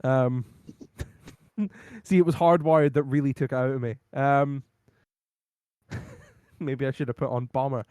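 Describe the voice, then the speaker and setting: A man with a worn, hoarse voice talks casually through a podcast recap, wandering from point to point with plenty of ums. His voice sits mid-range and the sound is close and dry, with no room around it. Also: mid-range voice, steady tone, unhurried, pauses often, a little mellow, no echo. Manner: rambling, lots of ums and laughs. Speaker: podcast co-host. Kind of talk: casual wrestling recap chat.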